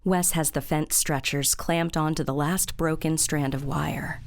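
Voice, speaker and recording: A woman's voice: sits low, steady tone, measured pace. A woman narrator reading scripted, present-tense narration for an audio drama. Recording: background sound-effect noise.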